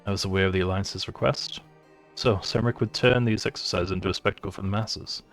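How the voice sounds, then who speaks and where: A man plays a courtly fantasy game commander, grave and reserved. The voice is fairly low and creaky, the wording measured and archaic, with music underneath. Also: steady tone, a bit bright. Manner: measured, archaic, formal diction.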